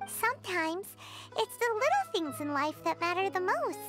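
A woman voicing a tiny, chatty, childlike fairy companion in a video game, exclamatory and naive, with a squeaky voice. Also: sing-song, moderate pace. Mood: gentle. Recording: music behind the voice.

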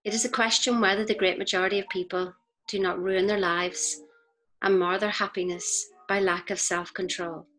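A woman reads scripted inspirational narration in a measured, deliberate way, with pauses between phrases. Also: medium pitch, little pitch movement, fairly bright tone, poor audio. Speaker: audiobook reader. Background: faint music.

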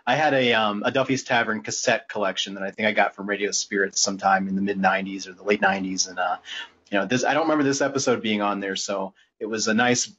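A man with a slightly low voice talks quickly in casual podcast chat, rambling and stopping to restart. The audio is a little dull and lo-fi. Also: steady tone, fairly bright tone, slightly rough. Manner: halting, rambling, hedging. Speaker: podcast co-host.

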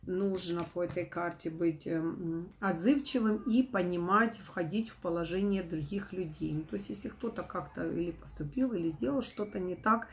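A middle-aged woman with a not fully recovered voice, giving an informal tarot forecast to camera in a halting, rephrasing way. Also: lowish voice, slight background noise. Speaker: tarot reader, host. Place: small room at home, indoors.

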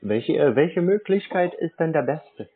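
Man, husky voice, deadpan, laconic, casual, naive-sounding, narrow phone-band audio. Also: fairly high pitch, measured pace. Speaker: prank scam-baiter playing a customer.

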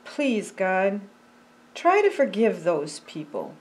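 Slow-reading older woman, storytime. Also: mid-range voice. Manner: slow, deliberate, expressive storytelling. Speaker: pastor reading a children's story. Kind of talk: picture-book storytime reading. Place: indoors by a fireplace.

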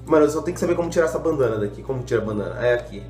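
A man's voice: medium pitch, expressive, very fast, darkish tone, roomy sound, soft background music. A young adult man giving casual, rambling gameplay commentary, full of slang and self-mocking jokes, a little congested from a cold. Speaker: gaming youtuber.

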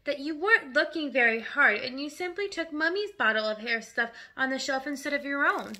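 A woman's voice: high-pitched, expressive, brisk, bright, forceful tone, heavily acted. A woman voicing a composed, sly little girl of five who speaks in a matter-of-fact way in a story. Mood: innocently sly. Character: composed, sly five-year-old girl, politely helpful.

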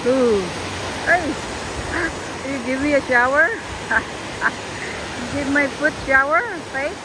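Slow-talking woman filming a family outing. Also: high-pitched. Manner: chatty, mixes English with Filipino words, laughs often. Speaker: vlogger. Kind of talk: casual family vlog chat. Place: outdoors on a beach, then on an open-air trolley. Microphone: phone camera held by the speaker. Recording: loud wind and traffic noise outdoors.

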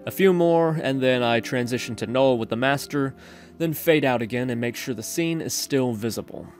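A man's voice: medium pitch, moderate pace, darkish tone, faint music behind. A man hosting a casual coding tutorial, talking through each step in an informal, chatty way. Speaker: tutorial host. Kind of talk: casual screen-recorded coding tutorial narration.

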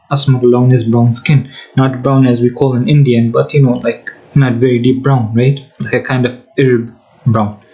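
Male commentator, explanatory and repetitive, middle pitch, slightly dull recording. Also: darkish tone. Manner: explanatory, frequent "right?" tags and restarts. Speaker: religious commentator. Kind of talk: screen-share commentary reading sources.

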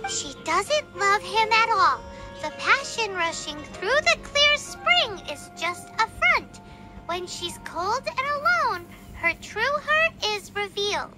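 A tiny fairy girl with an extremely high, squeaky voice that swoops around. She is a cutesy, excitable game sidekick, with music behind her. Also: slow pace, heavily acted. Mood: puzzled. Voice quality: squeaky, childlike. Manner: cutesy, exclaiming, speaks of herself by name. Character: small excitable childlike fairy companion, female. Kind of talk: video game voice acting.

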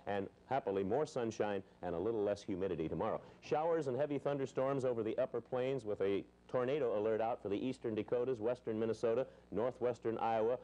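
A man's voice: fairly low pitch, dark tone, poor audio. A middle-aged American man presenting a 1970s local TV weather report in a wry, wordy manner. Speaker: TV weather forecaster. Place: television studio at a weather map.

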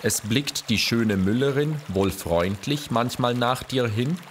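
A male actor playing a courtly, affectionate middle-aged poet reading letters and verse in a measured, formal way for a radio play. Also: mid-range voice, a little hiss or hum, lightly acted. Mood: courtly, tender. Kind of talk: expressive literary letter and poem reading.

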